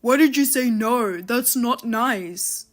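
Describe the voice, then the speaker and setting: An irritable husband out of work, played by a woman, snapping back and brushing off blame. The voice sits somewhat high and stays dismissive. Faint music plays under the story. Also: slow pace. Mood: defensive. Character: lazy, defensive unemployed husband.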